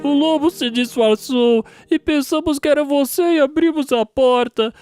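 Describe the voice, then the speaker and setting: Woman as a high-pitched, childlike little goat. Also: moderate pace, a little mellow, faint music behind, lightly acted. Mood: tearful. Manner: childlike, plaintive. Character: small, frightened, trusting young goat kid.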